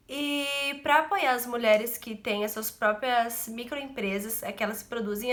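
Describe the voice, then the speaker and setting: A seventeen-year-old woman talks to camera from her bedroom, stuffy and nasal from a cold. Her voice sits a little high, and she rambles in a chatty, self-mocking way. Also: a bit bright. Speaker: vlogger. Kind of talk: casual chat to camera.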